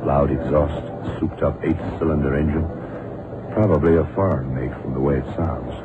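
An actor playing a seasoned, shrewd FBI investigator, terse and methodical, in an old radio drama. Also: male voice, rumbling low, steady tone, moderate pace. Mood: terse, watchful. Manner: terse, probing, methodical questioning. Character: seasoned, shrewd male FBI investigator, commanding older colleague. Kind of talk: 1960s-70s radio drama acting. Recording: muffled, noisy old radio drama recording.

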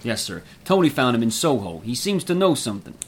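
A man's voice: mid-range voice, very animated, fairly quick, slight background noise, natural voice. An actor playing a deferential male servant who speaks hesitantly to his master.

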